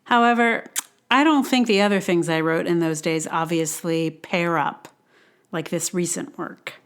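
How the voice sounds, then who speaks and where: A woman hosting a blogcast, reading her personal essay in a reflective, conversational way with wry, self-deprecating asides. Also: mid-range voice. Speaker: blogcast host and writer.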